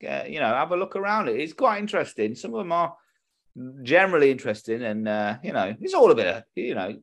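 A man's voice: a little high, sing-song, quick pace, creaky, a bit bright. A British man co-hosting a podcast in a casual, jokey, chatty way. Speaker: podcast co-host. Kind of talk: casual comedic chat.

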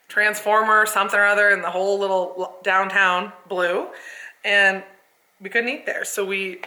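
Bright-voiced chatty woman in her thirties. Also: medium pitch. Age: 30s. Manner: chatty, upbeat, lots of "like". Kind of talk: casual chat.

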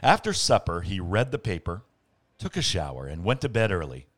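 Animated low-voiced man telling a bedtime story. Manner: dramatic, playful pauses and emphasis. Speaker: podcast host reading a story aloud.

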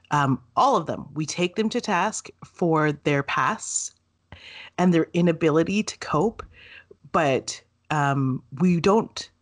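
A woman co-hosting a podcast in casual chat, animated and playful, often laughing. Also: deep voice. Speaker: podcast co-host.

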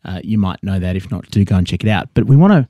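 A man's voice: low voice, animated, quick pace, warm tone, no room sound. A man co-hosting a comedy podcast, asking teasing, mock-earnest questions. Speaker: podcast co-host. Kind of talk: comic interview questioning.

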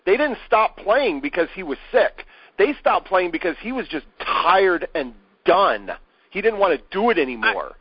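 A man hosting a casual chat, telling animated stories and swearing now and then. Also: high-pitched, moderate pace, slightly rough, no room sound. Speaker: podcast host. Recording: dry, dull lo-fi sound.

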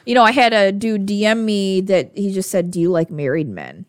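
A woman's voice: medium pitch, lively intonation, moderate pace, no room sound, clean studio sound. A woman with a northern Upper Midwest American accent, co-hosting a casual podcast, candid and profane, with frequent filler words. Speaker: podcast co-host.

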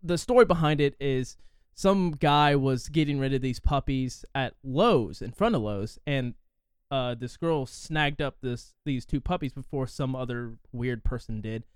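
A man's voice: mid-range voice, unhurried, clear, resonant tone, no room sound, clean studio sound. A man in his twenties from Indiana, rambling through a casual chat with lots of fillers and swearing. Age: 20s. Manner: rambling, profane, frequent fillers.

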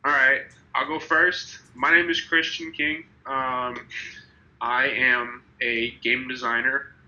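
A man's voice: mid-range voice, little pitch movement, takes it slowly, pushed and bright, muffled recording. A young man in his twenties with a slightly congested, nasal voice from a cold, hosting a casual podcast chat and rambling with many restarts. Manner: rambling, restarts, laughs easily. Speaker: podcast host.

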